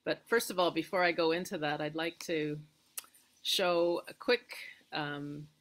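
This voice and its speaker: A middle-aged Canadian woman speaks in a low voice. She is reflective and casual, pausing to find her words as she talks over a video call from an outdoor porch. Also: steady tone, a bit bright. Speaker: guest, retreat owner and filmmaker. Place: outdoor wooden porch by a garden.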